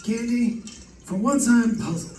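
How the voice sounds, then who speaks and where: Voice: medium pitch, a little slow, dark tone, lightly acted. An actor playing a dry, puzzled homicide lieutenant bantering in an old-time radio detective drama. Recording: echoey, distant mic, faint noise.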